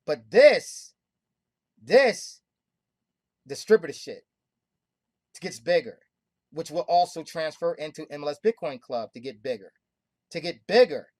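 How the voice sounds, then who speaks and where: Emphatic woman hosting a money pitch. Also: darkish tone, no room sound, clean studio sound. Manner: emphatic, repetitive, preaching. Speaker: host of a money club call. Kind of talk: sales pitch on a group call.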